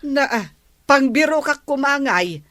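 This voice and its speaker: A woman's voice: sits high, expressive, measured pace, fairly bright tone, no room sound, studio quality. A woman with an Ilocano accent playing a village captain's nagging wife in a radio comedy. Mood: complaining. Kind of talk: comedy radio drama acting.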